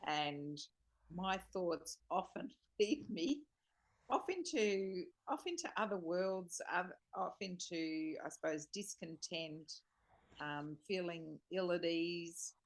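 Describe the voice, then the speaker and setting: A woman taking part in a study discussion, sharing reflections in a tentative, thoughtful way with pauses. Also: lowish voice. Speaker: study group participant.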